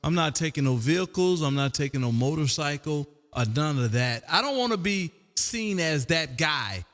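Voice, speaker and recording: An older man around sixty talks in a fairly high-set voice with long reverb around it. He chats in a folksy, rambling way as an amateur radio host, often addressing his listener as "man". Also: lively intonation, moderate pace, a bit bright, soft background music. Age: older, about 60. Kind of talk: casual rambling radio chat. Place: home radio room. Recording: long echoing room reverb.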